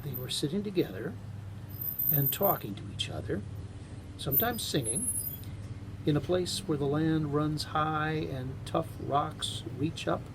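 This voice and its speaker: A man voicing a weary, wistful gray traveler on horseback in a bedtime story, slow and hushed. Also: animated. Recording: home recording with noticeable rumbling noise.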